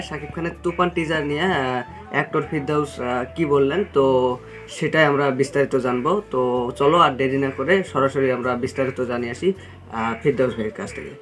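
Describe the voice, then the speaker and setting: A man's voice: fairly high pitch, fairly bright tone. A man hosts a casual online video, speaking in a hesitant, repetitive way full of fillers. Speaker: YouTube channel host. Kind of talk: casual video intro and outro to viewers. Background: music.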